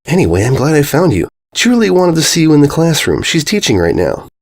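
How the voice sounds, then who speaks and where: Guy voicing a sensible worried pony girl, patient and caring, expressive storybook read. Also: fairly high pitch, quick pace, pitched above the performer's own voice, heavily acted. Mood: friendly. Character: concerned, patient, sensible young pony mare.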